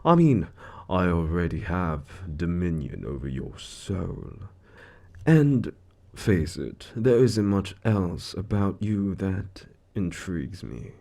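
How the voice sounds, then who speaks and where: An actor playing a suave, smug devil who deals in souls, speaking with theatrical, condescending mock courtesy in a scripted audio roleplay. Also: male voice, deep voice, very animated, takes it slowly, vocal fry, mellow, exaggerated voice. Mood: smug taunting. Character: suave, smug male devil, menacing soul-contract broker in Hell.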